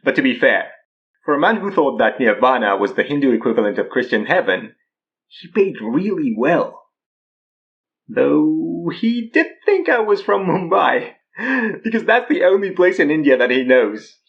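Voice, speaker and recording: Wry man, lively intonation. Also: on the high side, moderate pace, highs cut off. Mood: amused. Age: 30s. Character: easygoing, wry Mauritian man who poses as a guru. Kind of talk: naturalistic audio drama acting.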